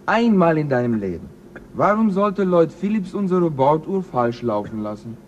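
A man's voice: fairly high pitch, big pitch swings, normal speed, darkish tone, dull audio, slight background noise. An actor playing a wry Italian male crewman on a spaceship who needles and reasons with the others. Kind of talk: 1970s radio play acting.

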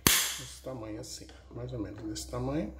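A man's voice: medium pitch, normal speed, very noisy. Homely man talking through a home recipe. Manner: homely, explains each step with diminutives. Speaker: home cook, husband of the channel host. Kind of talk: casual cooking vlog narration. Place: home kitchen, overhead camera on the counter. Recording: echoey kitchen with loud clatter of dishes.

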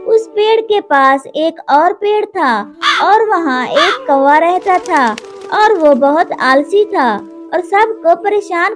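Slow high-voiced woman storyteller. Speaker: narrator. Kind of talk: children's storybook narration. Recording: narration over cartoon music.

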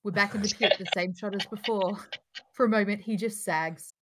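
A woman's voice: medium pitch, fairly quick, fairly bright tone. A woman reads out stage directions in scripted narration. She is heard over a video call with a faint layer of noise behind her. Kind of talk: scripted narration in a table read.

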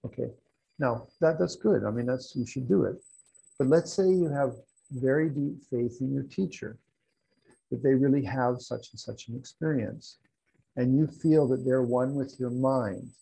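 Gravelly older man teaching a class. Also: mid-range voice, a little slow, mellow, poor audio. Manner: explanatory, restarts and asides, hands gesturing. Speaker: Buddhist teacher. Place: room at home with wall hangings. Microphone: lapel mic.

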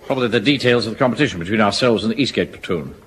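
An actor playing a pompous, self-important middle-aged home guard captain in a radio sitcom. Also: male voice, lowish voice, expressive, rapid-fire, fairly bright tone. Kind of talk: 1970s radio sitcom acting. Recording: old radio recording with faint hiss.

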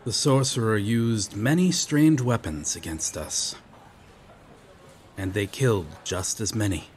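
A man's voice: on the low side, a little slow, darkish tone, slight background noise, natural voice. A man playing a noble, earnest medieval knight lost in modern times in an audio roleplay, speaking in formal, archaic, solemn phrases. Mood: grave, recounting. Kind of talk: ASMR audio roleplay, dramatic monologue.

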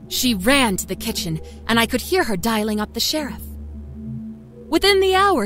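A woman's voice: on the high side, fairly bright tone. A woman playing a cold, matter-of-fact young small-town murderess, telling her horror story in a conversational, wry way. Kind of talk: first-person dramatic horror story narration. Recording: music under the voice.

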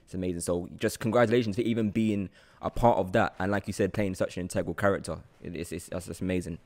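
Young man with a lowish voice. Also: steady tone, fast, darkish tone. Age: 20s. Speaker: podcast host, interviewer. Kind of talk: casual interview chat. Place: indoor studio room at night. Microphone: podcast microphone on boom arm, close.

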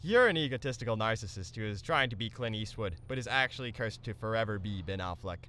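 A man's voice: lowish voice, animated, fast, very noisy, natural voice. A man voicing an arrogant, hot-tempered teenage athlete, pompous and melodramatic, in a comedic anime parody. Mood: scornful. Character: brooding, arrogant, hot-tempered teenage male volleyball player. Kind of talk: melodramatic comedic fan-dub acting. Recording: music under the voice.

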